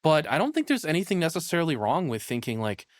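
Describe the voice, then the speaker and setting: A man's voice: a little high, big pitch swings, quick pace, dry recording, studio quality. A man giving casual live reaction commentary as a streamer, blunt and profane, rambling through his opinions as he thinks aloud.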